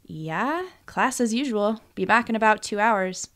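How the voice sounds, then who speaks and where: A woman's voice: medium pitch, animated, measured pace, fairly bright tone, voice pushed up. Wry young barista voiced by a woman. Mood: offhand. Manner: dry, offhand. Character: young female student barista, wry, casual, unimpressed.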